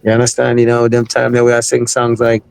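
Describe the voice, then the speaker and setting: A man's voice: medium pitch, monotone, fast, gravelly, clean tone, no room sound. A man from the United States, a reggae artist, talking casually in an interview, full of filler phrases. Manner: rambling, heavy on Jamaican-style filler phrases. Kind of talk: casual interview talk with sung snippets.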